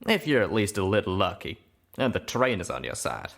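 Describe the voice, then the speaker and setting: Man doing a folksy cowboy pony, lively pitch. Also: a little fast, vocal fry, heavily acted. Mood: matter-of-fact. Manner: folksy, emphatic. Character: folksy loyal male pegasus pony, drawling and defensive.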